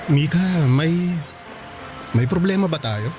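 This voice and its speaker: High-voiced, insistent young Filipino suitor. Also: male voice, lively intonation, slow pace, background noise. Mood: wounded, pleading. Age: young adult. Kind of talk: Filipino radio drama acting. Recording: loud music under the voice.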